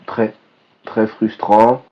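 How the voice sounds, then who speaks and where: A man co-hosting a casual football podcast, speaking in a measured way and repeating words for emphasis. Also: lowish voice, level tone, dull audio. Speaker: podcast co-host.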